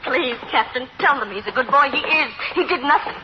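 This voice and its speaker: A middle-aged mother, frantic and pleading, in a vintage radio play. She talks quickly in a high voice. The recording is muffled and clearly noisy. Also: animated. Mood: distraught pleading. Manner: pleading, repetitive. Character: distraught older mother, pleading, protective, tearful. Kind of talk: old-time radio drama acting.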